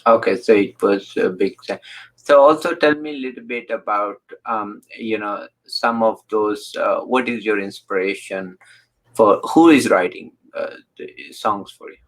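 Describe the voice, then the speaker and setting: A man hosting an interview show, asking questions haltingly with many fillers and repeated "you know". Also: on the low side, low-quality recording. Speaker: talk show host.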